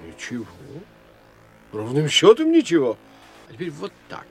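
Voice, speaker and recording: A self-assured middle-aged doctor of the mind in an audio drama. His delivery is formal and probing, with lively swings of pitch over faint music. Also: moderate pace. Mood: unruffled. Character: confident middle-aged psychiatrist, unhurried and probing.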